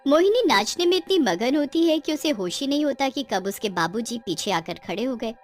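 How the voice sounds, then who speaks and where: A woman's voice: sits high, animated, normal speed, soft background music. A woman narrating a scripted cartoon story in a dramatic storytelling style.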